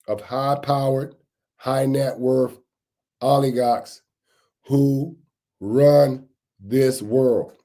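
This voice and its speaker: A man hosting a casual live stream, his voice a bit raspy as he gets over a cold, greeting chat viewers by name and repeating points for emphasis. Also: medium pitch, dark tone. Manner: repetitive, emphatic phrasing. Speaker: livestream host on security news.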